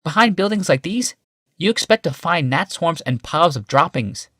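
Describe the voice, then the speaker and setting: Man voicing a lilting, high-pitched refined woman. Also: lively intonation, moderate pace, fairly bright tone, no room sound, clean studio sound. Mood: amused, wistful. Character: Rebecca, amused refined woman architect.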